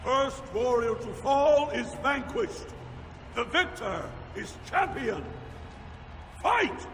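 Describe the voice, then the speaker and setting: A man's voice: extremely high pitch, very animated, slow pace, noisy background, heavily acted. A man playing a grand, solemn dwarf announcer in a fantasy game, speaking in a formal, declamatory way. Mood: solemn, proclaiming. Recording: music behind the voice.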